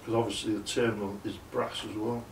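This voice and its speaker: A man's voice: fairly low pitch, little pitch movement, brisk, creaky, far from the mic, slight background noise. An older man talks casually to the camera while he works on a car in his home garage, muttering asides about nuts, bolts and spanners. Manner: mutters while working, plain chatty asides. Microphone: camera mic from a distance. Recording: echoey garage from off-mic.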